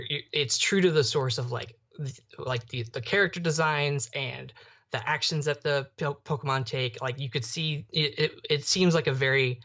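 A man's voice: mid-range voice, a bit bright. A young man co-hosting a casual podcast, rambling and often restarting his thoughts midway. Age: young adult. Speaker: podcast co-host. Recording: slightly dull, muffled audio.

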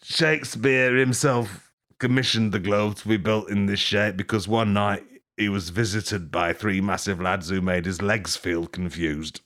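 Deep-voiced man riffing absurdly. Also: measured pace. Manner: rambling, deadpan absurd, swears and laughs at own jokes. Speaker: podcast host. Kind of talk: improvised mock tour-guide comedy bit.

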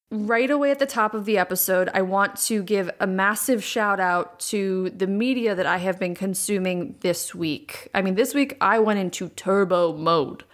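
A young adult woman hosting a solo podcast, with a raspy voice and vocal fry worn from recording many times, talking candidly and repeating words for emphasis. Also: medium pitch. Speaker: podcast host. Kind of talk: casual solo podcast talk. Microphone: USB condenser microphone.

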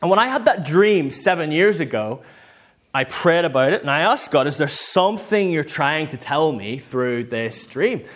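Northern irish guy preaching, kinda high voice, really lively pitch, chatty storytelling style. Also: normal speed. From Northern Ireland. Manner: conversational, self-quoting, acts out short bits of dialogue. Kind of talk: informal sermon with personal stories.

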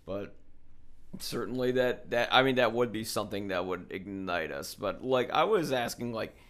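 A man's voice: fairly low pitch, animated, moderate pace, fairly bright tone. A middle-aged man with an American accent, chatting informally and bluntly as an interviewer, rambling a little. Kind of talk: casual opinionated conversation.

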